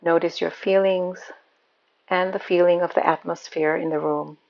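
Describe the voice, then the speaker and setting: Woman, meditation guide, lowish pitch, calm and unhurried, soothing, gravelly fry, slightly muffled lo-fi recording. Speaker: yoga nidra meditation guide.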